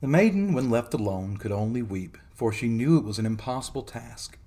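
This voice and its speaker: A man narrating a fairy tale as a storytelling host, in a theatrical, expressive dramatic reading. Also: medium pitch, a little fast.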